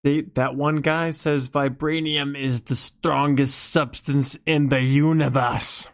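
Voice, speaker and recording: A man's voice: a little high, steady tone, slow. Man, podcast co-host, casual chat, loose phrasing, lots of "like", questioning.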